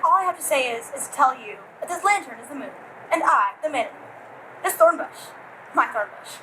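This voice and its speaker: A woman voicing an earnest man who plays the moon with a lantern, reciting in a halting, plodding way. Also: sits high, animated, background noise.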